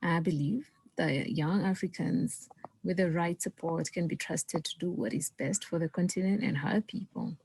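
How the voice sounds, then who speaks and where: Woman from Kenya, lowish, calm, faint hiss behind. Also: normal speed, vocal fry.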